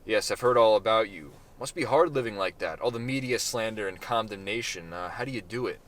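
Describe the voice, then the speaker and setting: A man voicing a blonde woman, a flattering and overly eager stranger, in a storybook reading. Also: lowish voice, fairly quick, a little hiss or hum, natural voice.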